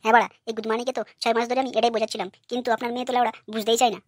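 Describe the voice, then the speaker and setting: Woman doing a rapid-fire, brash young son-in-law. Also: on the high side, level tone, dry recording, clean studio sound, close to an everyday voice. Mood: angry, ranting. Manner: brash, ranting. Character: foul-mouthed, hot-tempered, aggrieved young son-in-law. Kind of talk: cartoon comedy dialogue.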